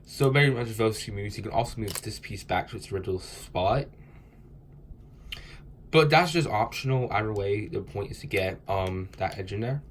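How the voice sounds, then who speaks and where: A man's voice: on the low side, normal speed, a little hiss or hum. Stuffy-nosed young man teaching a puzzle. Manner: loose, rambling explanation with fillers. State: stuffy from a cold. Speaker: cubing tutorial host. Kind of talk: casual tutorial explanation.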